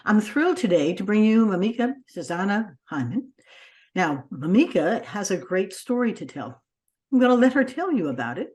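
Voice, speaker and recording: A woman hosting a podcast, introducing her guest with an enthusiastic, expressive delivery. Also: mid-range voice, moderate pace. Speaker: podcast host.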